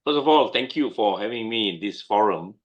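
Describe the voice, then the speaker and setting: A man's voice: on the low side, animated, a little fast. Malaysian man, dull muffled audio. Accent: Malaysian English. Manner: emphatic, repeats points, laughs while recalling. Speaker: guest lawyer. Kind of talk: conversational interview answers.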